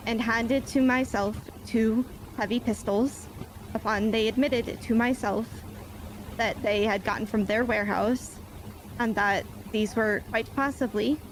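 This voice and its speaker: A woman plays a police officer giving testimony in a roleplay courtroom, using stiff, formal police-report wording. Her voice sits fairly high. Clear noise runs under a game voice-chat recording. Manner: formal police-report phrasing, leans on "basically" and "myself".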